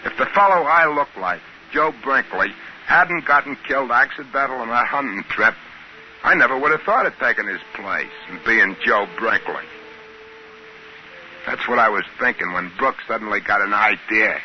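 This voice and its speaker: A man's voice: medium pitch, animated, moderate pace, a little hiss or hum, natural voice. An actor playing a plainspoken, wistful spaceman narrating in a homespun, colloquial way, in 1950s radio drama style on an old muffled recording. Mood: wistful, scheming.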